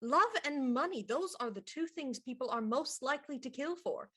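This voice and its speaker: A woman's voice: high-pitched, moderate pace, a bit bright, breathy edge. A woman playing a shrewd, probing police inspector in a radio murder mystery, pointed and theatrical. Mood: accusing.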